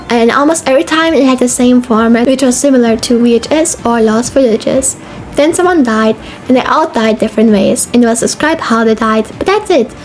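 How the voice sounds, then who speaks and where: A young woman with a fairly high voice narrates a video essay. Her delivery is casual and informal, read from a script, and music plays faintly behind her. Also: darkish tone. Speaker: video essay host and streamer. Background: background music.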